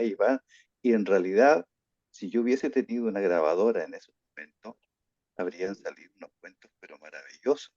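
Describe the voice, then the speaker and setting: A man's voice: fairly low pitch, a little mellow, no echo. A man telling a reminiscing anecdote in casual talk, recorded with a dull, dry microphone sound. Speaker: workshop participant, teacher.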